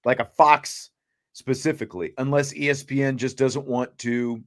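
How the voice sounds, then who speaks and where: A man hosting a sports talk show, speaking casually in a measured, conversational way. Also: mid-range voice, fairly even intonation.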